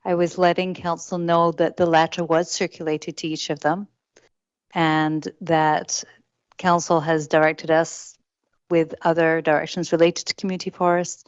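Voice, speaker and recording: A soft-spoken woman with a low, flat voice answers formally as city administrator at a council meeting. The sound is dry and dull, close and without room ring. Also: normal speed, smooth, no echo. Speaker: chief administrative officer. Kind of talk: formal staff response. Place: council chamber.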